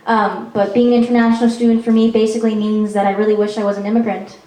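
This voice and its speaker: A woman's voice: mid-range voice, level tone, quick pace, warm tone, distant mic. A young woman from Peru performing a stand-up comedy set in a chatty, self-mocking way, with frequent ums. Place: small performance venue. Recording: echoey venue recorded from afar.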